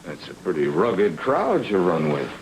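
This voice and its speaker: An actor playing a sardonic, deadpan private detective in a film noir, trading unhurried wisecracks. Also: male voice, low-pitched, slight background noise, exaggerated voice, poor audio. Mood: dry skepticism. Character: wry, insolent male private detective for hire. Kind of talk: film noir screen acting. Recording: vintage film soundtrack, loud orchestral music.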